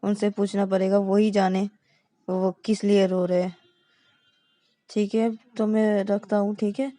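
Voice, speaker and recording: A woman's voice: mid-range voice, level tone, unhurried, warm tone, no room sound, natural voice. A woman voicing a worried, pressing young man. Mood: unsure. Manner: pressing, repeated worried questions.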